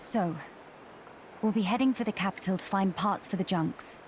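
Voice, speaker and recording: A woman's voice: medium pitch, moderate pace, a little mellow, a little hiss or hum, natural voice. A woman playing a noble young woman in a video game, with formal, dignified phrasing. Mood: composed. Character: poised, noble young woman of high birth. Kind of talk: video game cutscene acting. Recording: music behind the voice.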